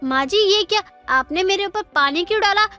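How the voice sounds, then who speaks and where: A woman's voice: very high-pitched, lively intonation, a little fast, pushed and bright, heavily acted. A woman voicing a lazy, insolent young daughter-in-law who argues back in a moral story cartoon. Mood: indignant. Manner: defiant, argumentative. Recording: music under the voice.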